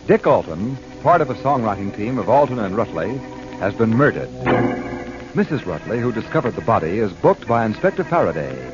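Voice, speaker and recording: Male radio announcer, 1940s style, scripted and emphatic, animated intonation, dull old recording. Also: on the low side, a little fast, music in the background. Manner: polished, emphatic announcer delivery.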